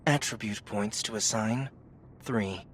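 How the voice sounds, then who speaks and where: An actor voicing an impersonal game system interface in an audiobook, reading out stats in a clipped, formal, deliberate way. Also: mid-range voice, a little hiss or hum, lightly acted. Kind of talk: LitRPG audiobook system readout.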